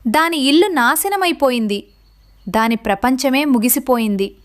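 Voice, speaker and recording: A woman's voice: sits high, lively intonation, moderate pace. A woman narrating a scripted children's story in a dramatic storytelling manner.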